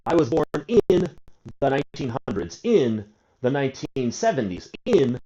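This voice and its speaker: A man's voice: medium pitch, sing-song, normal speed, mellow. A man in his forties teaching an English grammar lesson, asking and answering his own questions and drawing out key words. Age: 40s. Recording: dull lo-fi recording.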